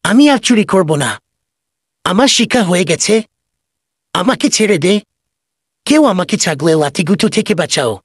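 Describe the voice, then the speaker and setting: A man's voice: high-pitched, expressive, normal speed, pauses often, slightly rough, dry recording. A man playing a sly, cheeky village goat thief in a comedy cartoon, with a theatrical manner. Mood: pleading. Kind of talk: cartoon voice acting.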